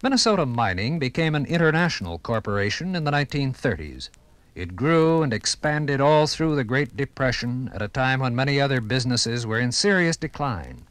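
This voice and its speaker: A man reading scripted 1950s radio documentary narration in a measured, formal storytelling manner. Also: medium pitch, lively intonation, a bit bright, no room sound.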